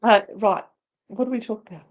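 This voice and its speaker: A woman's voice: mid-range voice, fairly quick, lo-fi sound. Chatty Australian woman co-hosting. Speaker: co-host of a paranormal live show. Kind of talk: casual, bantering chat.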